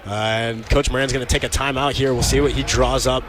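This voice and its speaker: Mid-pitched man giving color commentary. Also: steady tone, brisk, music in the background. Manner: conversational analysis with fillers like "you know". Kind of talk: radio basketball color commentary.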